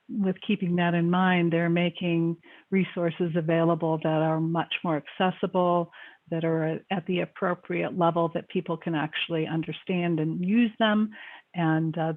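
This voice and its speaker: A woman with a fairly low voice moderates an online panel. She reads out audience questions and adds her own remarks in a steady, measured way. Her voice comes through thin and narrow, like a phone line.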